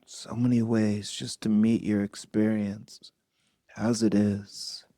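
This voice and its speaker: Very slow, low-voiced man guiding meditation. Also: level tone, warm tone, no room sound, clean studio sound. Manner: unhurried, with long pauses between short phrases. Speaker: meditation teacher. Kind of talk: guided meditation.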